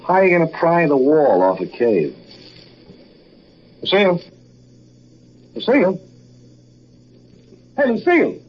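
An actor playing a wry, protective young husband, bantering in a 1940s radio drama. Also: male voice, fairly high pitch, huge pitch swings, unhurried, pauses often, heavily acted. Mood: worried, calling. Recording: muffled, noisy 1940s radio recording.

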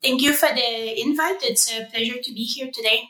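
A woman's voice: on the high side, fairly even intonation, normal speed, sharp tone, roomy sound. A young woman from Quebec, a native French speaker with a French Canadian accent, talking conversationally as an interview guest. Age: young adult. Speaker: podcast guest, researcher.

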